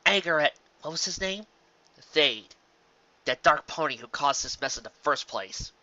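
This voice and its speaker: A man reading a fan fiction story aloud as narrator, in an expressive storytelling style. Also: on the high side, normal speed, dry recording, muffled recording. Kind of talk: expressive story narration with occasional casual asides.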